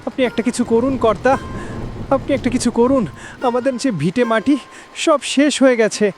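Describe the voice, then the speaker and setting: A man's voice: extremely high pitch, steady tone, a little fast, slight background noise. A man voicing a desperate, crying poor villager who pleads in a cartoon. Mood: tearful pleading. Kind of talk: animated cartoon dialogue.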